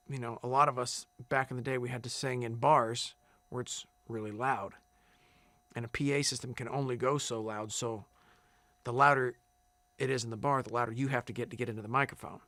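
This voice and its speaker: A man who sings as a contestant, answering interview questions in a relaxed, rambling way with many fillers and restarts. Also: mid-range voice, dry recording. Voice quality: big loud singing voice. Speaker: singing contestant.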